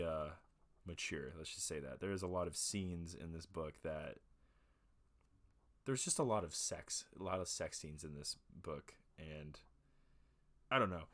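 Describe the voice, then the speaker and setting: A man in his twenties hosting a relaxed show, rambling casually through book reviews with lots of fillers. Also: sits low. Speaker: host of a music and talk show.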